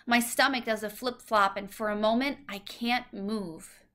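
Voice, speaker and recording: A woman reading a children's story aloud as scripted narration, with expressive, clear phrasing. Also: fairly high pitch, measured pace. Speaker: storybook reader.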